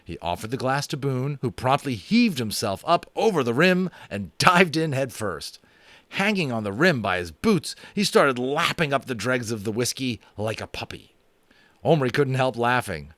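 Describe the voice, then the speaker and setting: A man narrating a children's fantasy book aloud in an expressive, dramatic style, with casual asides. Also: medium pitch, moderate pace, dry recording. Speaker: audiobook narrator.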